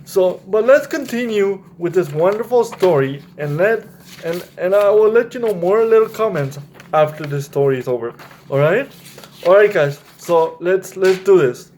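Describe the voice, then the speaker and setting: Male children's storytime host, higher voice with very lively pitch, speaking straight to kids and checking they follow. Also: normal speed, slight background noise. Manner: addresses children directly, often checks with "right?". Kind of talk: explaining to children, read-aloud storytelling.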